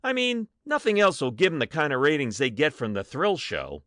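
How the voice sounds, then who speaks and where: Guy doing a fretful tv staffer in an audiobook, highish voice swinging all over the place. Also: fairly quick, no room sound, clean studio sound, exaggerated voice. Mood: anxious, pleading. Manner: fretful, excitable. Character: anxious, panicky male TV show staffer. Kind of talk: dramatic audiobook reading.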